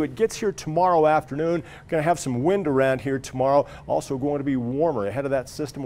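A man's voice: medium pitch, a little mellow. Upbeat TV weatherman. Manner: upbeat, folksy, conversational. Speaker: TV meteorologist. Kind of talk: broadcast weather forecast. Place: TV studio in front of a weather wall.

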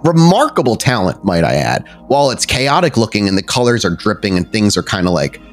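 A man's voice: lowish voice, big pitch swings, fairly quick, soft background music. A man improvising descriptive narration as the storyteller of a tabletop roleplaying game, in a casual, conversational way.

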